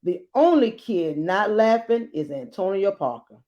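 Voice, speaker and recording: A woman hosting a children's storybook read-aloud, reading expressively with dramatic emphasis and deliberate pauses. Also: medium pitch, animated, dark tone, dull audio.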